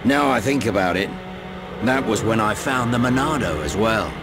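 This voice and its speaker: A man playing a gruff, blunt middle-aged mentor warrior in a video game cutscene. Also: on the low side, animated, moderate pace, background noise, close to an everyday voice. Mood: matter-of-fact. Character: gruff older male mentor, secretly deceitful warrior.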